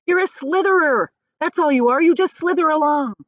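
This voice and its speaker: Woman voicing a cocky, high-pitched male centipede. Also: normal speed, fairly bright tone, dry recording, dull audio. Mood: mocking. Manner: bragging, teasing. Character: boastful rascally male centipede, gleeful and proud.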